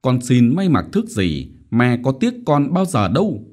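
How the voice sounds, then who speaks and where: Guy putting on a fussy worried mom voice, coaxing and soft, well above his normal pitch, lots of lilt. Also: wildly swooping pitch, unhurried. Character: doting, fretful middle-aged mother.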